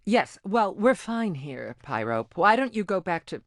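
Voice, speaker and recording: A woman voicing a self-important, melodramatic gem informant in an animated game, pompous and showy. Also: mid-range voice, unhurried, no echo, clean studio sound. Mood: exasperated.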